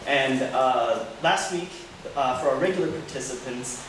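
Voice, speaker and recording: A man moderating a Q&A at a public talk, asking deliberate, measured questions with frequent "uh" fillers. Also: mid-range voice. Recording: echoey hall, voice far from mic, noisy.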